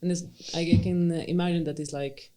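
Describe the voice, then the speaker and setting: A woman's voice: on the low side, fairly even intonation, fairly quick, warm tone. A woman from Sweden, a cello teacher hosting a podcast, speaks English as a second language, with light fillers and supportive short replies. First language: Swedish. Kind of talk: casual interview conversation.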